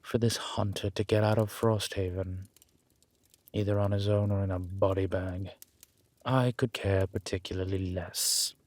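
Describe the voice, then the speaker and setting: Man playing a slow, low-voiced urbane ancient vampire. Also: fairly even intonation, darkish tone, no echo. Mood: composed, dryly menacing. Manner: measured, formal, deliberate, drawn-out phrasing. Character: urbane, centuries-old male vampire, dry and condescending. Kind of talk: scripted audio roleplay drama.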